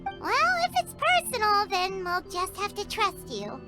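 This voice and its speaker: A tiny childlike fairy sidekick, extremely high and swooping in pitch. She is chirpy, cute and nosy in cartoonish game style, over prominent music. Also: wildly swooping pitch, unhurried, full character voice. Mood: wary. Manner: cute, chirpy, refers to herself in third person. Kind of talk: cartoonish fantasy game voice acting.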